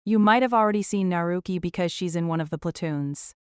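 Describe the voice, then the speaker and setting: Woman as easygoing anime student, smooth and clear, mid pitch, dry close recording. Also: fairly quick, a little mellow, no echo, lightly acted. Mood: friendly, matter-of-fact. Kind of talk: scripted audio drama dialogue.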